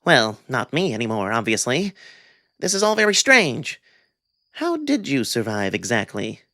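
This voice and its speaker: A man's voice: medium pitch, wildly swooping pitch, measured pace, pushed and bright, dry recording, studio quality, heavily acted. A man voicing an anxious, prying male alien cat in a visual novel drama, questioning and evasive. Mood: evasive, puzzled.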